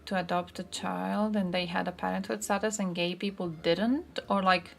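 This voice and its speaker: Creaky-voiced woman streaming casually. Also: lowish voice, fairly even intonation, moderate pace. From Croatia. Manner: sweary, informal. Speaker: livestream host. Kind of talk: casual livestream chat, reacting to chat and posts.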